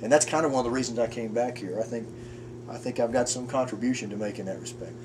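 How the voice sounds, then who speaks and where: A man in his fifties muses earnestly on a front porch, stopping and restarting as he gathers his thoughts. He sits some way from the mic, and steady outdoor noise runs behind his voice. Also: mid-range voice. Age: 50s. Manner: earnest, halting, with frequent restarts. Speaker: porch conversation guest. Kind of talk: casual reflective chat. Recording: distant mic with background noise.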